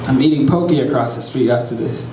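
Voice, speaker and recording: A man's voice: mid-range voice, a little fast. A young man from Uganda with a Ugandan accent performing a playful stand-up set and chatting with the crowd. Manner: playful, crowd work, acts out voices in his stories. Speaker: stand-up comedian. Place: brewery comedy show before a live audience. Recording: echoey brewery room with crowd ambience.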